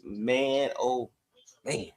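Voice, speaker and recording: A man's voice: mid-range voice, very slow. Man chatting casually about sports. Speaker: sports podcast host.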